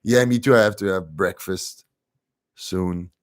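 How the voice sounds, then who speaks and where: A young man with a French accent, his first language being French, hosts a relaxed livestream, chatting in English to his viewers. His voice sits a little low, and he talks in an easygoing, chatty way with soft laughs. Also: measured pace. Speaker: livestream host reading chat. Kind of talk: casual livestream chat.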